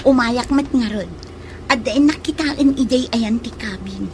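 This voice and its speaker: A woman's voice: on the high side, measured pace, a little mellow, close to an everyday voice. A woman playing a pushy, scheming young rival in love in a radio drama, with a suspicious, needling manner. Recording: faint noisy ambience behind.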